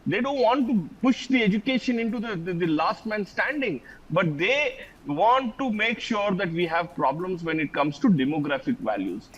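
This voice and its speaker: A man's voice: sits high, animated, moderate pace, breathy edge, dull audio. A man whose first language is Urdu, arguing emphatically as a panelist in a heated TV debate and repeating words as he presses his point.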